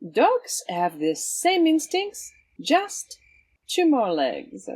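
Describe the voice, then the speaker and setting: A woman's voice: on the high side, wildly swooping pitch, drawn-out words, darkish tone, husky, exaggerated voice. A woman playing a cool, wry swashbuckling vigilante with a sly, teasing, theatrical manner in an audio drama. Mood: wry, confident.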